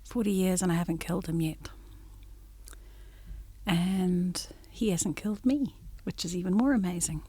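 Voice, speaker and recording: A woman's voice: lowish voice, moderate pace, warm tone, slightly rough, dry recording. A woman in her sixties, a business administrator, introducing herself in a casual family interview with teasing, playful humour.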